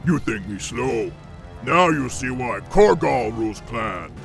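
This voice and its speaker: An actor playing a brutish, arrogant ogre clan chief in a video game, with blunt, taunting broken grammar. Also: male voice, medium pitch, big pitch swings, slow, creaky, fairly bright tone, faint music behind, full character voice. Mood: menacing, boastful. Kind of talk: video game cutscene acting.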